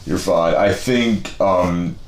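A man with a very low voice talks in a loose, rambling way, full of slang and fillers. He sounds like he's in his thirties and hosts a relaxed chat. The room is echoey. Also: takes it slowly. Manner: laid-back, slangy, rambling with many fillers. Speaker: podcast host. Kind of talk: casual conversation.

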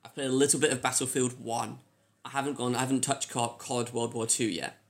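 A man's voice: medium pitch, fairly even intonation, a little fast, vocal fry. A man co-hosting a pop culture podcast, chatting informally with tentative phrasing. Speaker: podcast co-host. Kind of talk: casual pop culture chat.

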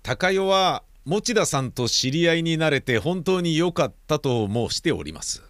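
A man's voice: fairly high pitch, very animated, normal speed, fairly bright tone, no echo, studio quality. A man playing a courteous, reserved father who speaks formally in an audio drama.

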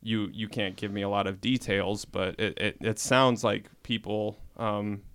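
A man speaks in a low, smooth voice during a relaxed chat. He talks hesitantly, full of small pauses and restarts as he works out what he means. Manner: hesitant, many fillers and restarts. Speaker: podcast guest, host's husband. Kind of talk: casual conversation.

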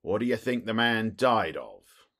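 Man playing a husky, blustering police detective. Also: lowish voice, very animated, unhurried, fairly bright tone, voice pushed up, heavily acted. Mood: brisk, bluff. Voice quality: muffled, husky, wheezy. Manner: blustering, short clipped phrases. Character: stout, bluff, self-important middle-aged male police detective. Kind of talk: audiobook character voice.

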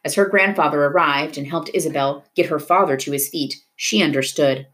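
A woman reading a novel aloud in an expressive storytelling style, dramatic at tense moments. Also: sits low, fairly quick. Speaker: reader of a children's novel. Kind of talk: expressive read-aloud narration of a book.

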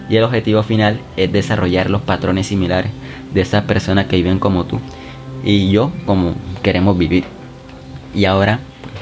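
Male podcast host, low voice, talks straight to the listener, music underneath. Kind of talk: self-help podcast monologue. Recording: music bed behind the voice.

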